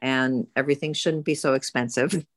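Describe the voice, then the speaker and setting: A woman's voice: a bass voice, fairly even intonation, moderate pace, no echo. A woman hosting a book group in a casual, conversational way.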